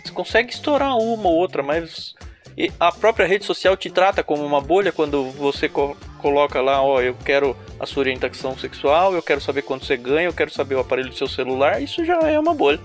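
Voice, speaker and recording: A man's voice: a little high, animated, quick pace, faint music behind. A man co-hosting a casual podcast chat, explaining points in a matter-of-fact way. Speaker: podcast co-host.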